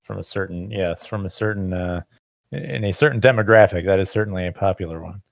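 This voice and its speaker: A man's voice: low-pitched, fast, creaky, dry recording, studio quality. A man co-hosting a casual podcast, talking in a rambling, reflective way with many fillers. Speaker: podcast co-host, martial arts teacher.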